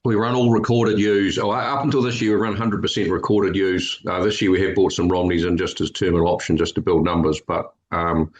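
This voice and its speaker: Kiwi sheep farmer in his fifties, very low voice, plain and steady, lots of ums. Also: a little fast, hardly any pauses. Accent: New Zealand. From New Zealand. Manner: steady, matter-of-fact, with frequent ums. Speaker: podcast guest, sheep farmer and scanner. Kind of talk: conversational interview.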